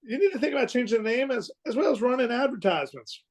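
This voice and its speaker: Man as earnest mechanic, insistent, very high pitch, lively swoops, radio drama. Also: fast talker. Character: earnest, opinionated airplane mechanic employee. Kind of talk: old-time radio play acting.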